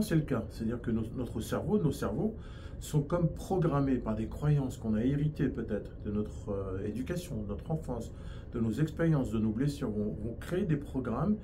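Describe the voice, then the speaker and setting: A man's voice: mid-range voice, background noise. A man from France with a French accent, a hypnosis and NLP trainer explaining his field in an interview, with frequent restarts.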